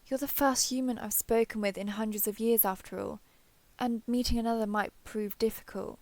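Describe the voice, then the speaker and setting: A woman playing an ancient, proud female dragon in human form in an audio roleplay, speaking in formal, measured, archaic phrasing. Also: on the high side, steady tone, darkish tone, no echo, lightly acted. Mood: thoughtful, gracious. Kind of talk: scripted audio roleplay acting.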